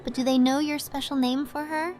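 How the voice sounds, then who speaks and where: A woman playing a sly, composed sorceress in a fantasy audio drama, measured, mysterious and coy. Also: sits high, fairly even intonation, a little mellow, faint music behind, lightly acted.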